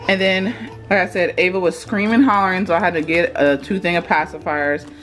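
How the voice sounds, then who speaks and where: A woman's voice: lowish voice, steady tone, brisk, fairly bright tone. Young Texan woman, casual vlogger, straight-talking, says y'all a lot; handheld camera in a home kitchen, faint music underneath. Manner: chatty, direct, addresses viewers as y'all. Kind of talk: casual vlog chat. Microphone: handheld camera at arm's length.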